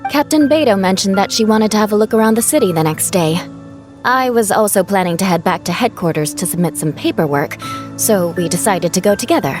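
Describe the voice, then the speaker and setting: A woman playing a proud but awkward young knight in a video game, speaking in a formal, precise, measured manner. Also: mid-range voice, music in the background, natural voice. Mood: matter-of-fact.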